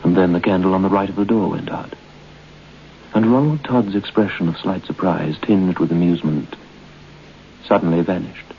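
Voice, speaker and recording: An actor playing a grave, ominous narrator of a radio ghost story, speaking in a deliberate, suspenseful way. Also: male voice, low voice, creaky. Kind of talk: old-time radio horror narration. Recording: muffled, noisy old radio broadcast.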